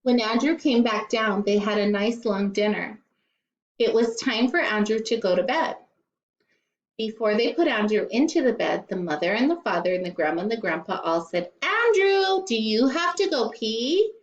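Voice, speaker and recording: A woman teacher reading a storybook aloud to children in an expressive way, with playful asides. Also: medium pitch, normal speed. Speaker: primary school teacher. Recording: echoey, slightly dull room recording.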